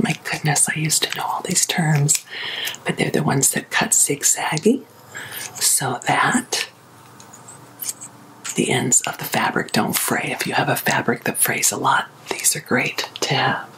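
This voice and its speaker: A woman hosting ASMR, chatting casually in a soft, breathy whisper with a gentle, unhurried, confiding manner. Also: low voice, low-quality recording. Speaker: ASMR video host. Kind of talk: ASMR, casual rambling chat. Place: small sewing and craft room at home.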